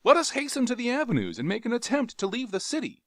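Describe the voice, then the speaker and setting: A man's voice: on the low side, big pitch swings, a little slow, a bit bright, no echo, clean studio sound, exaggerated voice. A man voicing a bold, impatient male warrior who speaks in a commanding way.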